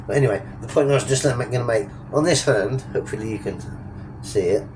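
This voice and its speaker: A man's voice: mid-range voice, brisk, noisy background. Stuffy-nosed man rambling to camera. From England. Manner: rambling, chatty, self-correcting. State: stuffed-up nose, sniffly. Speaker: travel vlogger. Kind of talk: casual vlog narration. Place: inside a Ferris wheel cabin at night.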